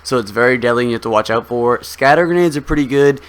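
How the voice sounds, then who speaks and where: A young man with a slightly hoarse voice, giving relaxed, rambling game commentary full of ums as a gaming video host. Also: medium pitch, quick pace. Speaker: gaming YouTuber. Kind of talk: casual gameplay commentary.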